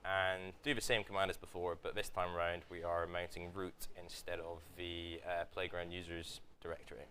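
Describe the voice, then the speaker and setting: Deep-voiced man teaching live. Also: normal speed, hardly any pauses, slight background noise. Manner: steady step-by-step explaining with frequent fillers. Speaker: workshop presenter. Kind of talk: live hands-on tech tutorial. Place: office event room with live audience.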